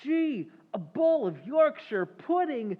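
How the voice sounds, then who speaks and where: A man playing a glum young delivery boy in old-time radio comedy, deadpan and drawn-out. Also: extremely high pitch, full character voice. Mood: unimpressed. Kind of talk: 1940s radio comedy acting. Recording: hollow, narrow-band hall recording.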